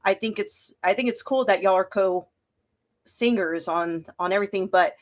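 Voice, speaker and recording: A woman's voice: medium pitch, moderate pace. Chatty woman interviewer. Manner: enthusiastic, informal, says y'all. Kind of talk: casual interview.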